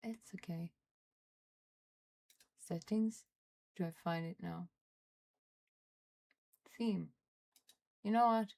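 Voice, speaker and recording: Norwegian girl streaming, slow and gravelly, swearing and muttering at her computer. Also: lowish voice, darkish tone. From Norway. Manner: frustrated, swearing, muttering under her breath. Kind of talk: casual livestream chat while fiddling with software.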